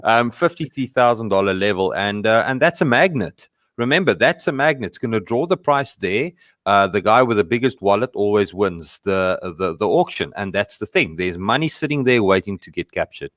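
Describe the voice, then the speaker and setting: A man with an Afrikaans accent, a guest trader slowly explaining his view of the market with some hesitations. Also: lowish voice, animated, fairly bright tone, no echo, clean studio sound.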